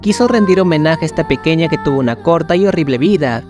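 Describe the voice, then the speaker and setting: A man's voice: a little high, lively intonation, quick pace, music in the background. A man reading scripted, dramatic narration of scary stories as the narrator.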